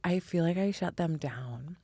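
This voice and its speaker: A woman's voice: fairly low pitch, measured pace, warm tone, no echo. An American woman from the Midwest speaking as a podcast guest, reflective and earnest with a playful, casual streak. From Indiana, US Midwest. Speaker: podcast guest, spiritual teacher. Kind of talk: reflective conversational interview.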